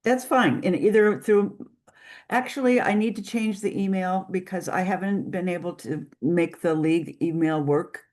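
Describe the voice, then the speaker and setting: Woman, very low voice, hesitant presenter, fillers and restarts. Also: normal speed, a little mellow. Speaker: guest presenter. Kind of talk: remote presentation wrap-up.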